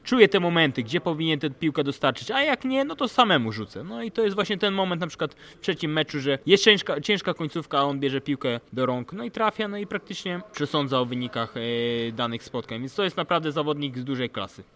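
A man's voice: a little high, animated, a little fast, hardly any pauses, fairly bright tone. A man talks through sports analysis. He sounds like a podcast co-host in casual discussion. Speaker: podcast co-host and basketball commentator.

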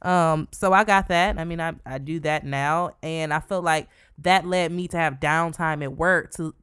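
Twenties woman podcast co-host, blunt and profane, smooth low voice, dry close sound. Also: moderate pace, no echo. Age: 20s. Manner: blunt, frequent swearing, animated. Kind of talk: casual chat.

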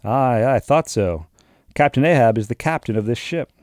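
A man voicing a gruff, suspicious old sea captain who blusters and fires challenging questions in archaic speech. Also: medium pitch, moderate pace, darkish tone, no room sound, studio quality, natural voice.

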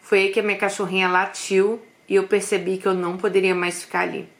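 A woman's voice: medium pitch, little pitch movement, fast talker, fairly bright tone. Confiding woman telling a story. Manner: confiding, with frequent "né" asides to listeners. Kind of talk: first-person storytelling to an online audience.

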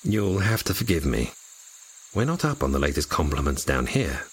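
A man playing a brooding, commanding fallen angel in a fantasy audio drama, speaking with measured, wry politeness. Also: big pitch swings, full character voice. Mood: wry, courteous. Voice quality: deep and husky.

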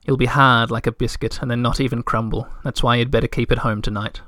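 A man doing a quiet, serious winter creature. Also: mid-range voice, steady tone, fast talker, dry recording, studio quality, lightly acted. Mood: warning. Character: calm, sensible female winter creature.

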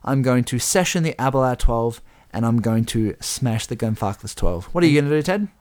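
Chatty croaky-voiced podcast host. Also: male voice, mid-range voice, animated, brisk, darkish tone. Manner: chatty, rambling with restarts and laughs. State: slightly croaky voice. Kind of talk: casual chat.

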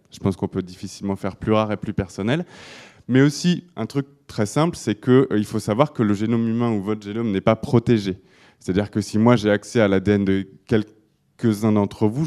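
Male speaker, warm mellow tone, halting presentation to a live audience, frequent restarts. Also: mid-range voice. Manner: hesitant, many restarts and fillers. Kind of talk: live talk on design with slides.

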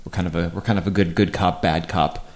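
A man playing a smug, agreeable doctor husband in improvised radio comedy, speaking in a halting, pompous deadpan. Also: low voice, close to an everyday voice.

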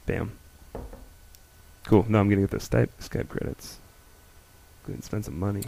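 A man's voice: lowish voice, fairly even intonation, normal speed, gravelly, mellow, poor audio. A man co-hosting a radio show, upbeat and playful as he chats with guests. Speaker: radio co-host. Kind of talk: casual radio chat.

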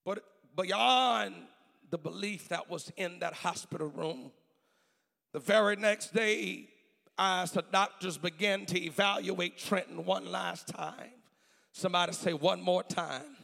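Gravelly, high-voiced man preaching in a reverberant hall. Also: slow. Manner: theatrical, emphatic, repeats phrases, swings from hushed to shouted. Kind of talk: dramatic storytelling sermon with call-and-response. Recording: long reverberant hall sound.

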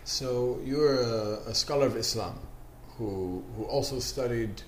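A man's voice: medium pitch, a little slow, a little hiss or hum. A man hosting an interview, asking questions and affirming the guest with short interjections. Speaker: interviewer, magazine host. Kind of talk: hosted interview. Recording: roomy echo with music underneath.

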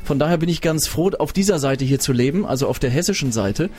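A man's voice: on the high side, lively intonation, fast talker, darkish tone. A man from Berlin, a radio presenter, chatting as a studio guest and telling stories with many fillers. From Germany, Berlin. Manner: chatty, anecdotal, with frequent fillers. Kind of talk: casual radio interview.

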